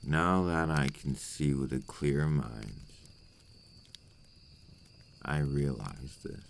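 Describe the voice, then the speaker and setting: A man's voice: very deep voice, animated, extremely slow, creaky, slight background noise. A man playing a possessive, remorseful vampire captor in an intimate ASMR roleplay monologue, speaking in formal, old-fashioned phrases. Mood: brooding, regretful.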